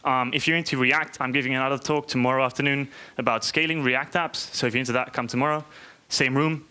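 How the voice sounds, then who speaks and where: A man's voice: medium pitch, fairly even intonation, quick pace, pushed and bright, clean tone. A man from Austria, giving an informal, chatty, joking tech conference talk and often checking in with "right?". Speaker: conference speaker, software developer. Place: conference room, live talk to an audience.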